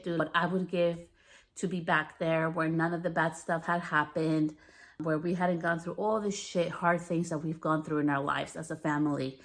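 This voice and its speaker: Frank woman with a deep voice. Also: fairly bright tone. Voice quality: deeper natural voice. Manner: frank, repetitive, swears freely. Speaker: podcast host. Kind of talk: candid personal monologue.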